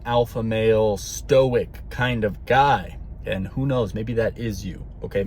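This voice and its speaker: A man's voice: mid-range voice, a little hiss or hum. A man talking to his viewers in a casual monologue, earnest and rambling, often tagging his sentences with "okay?" and repeating words. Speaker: spiritual vlogger.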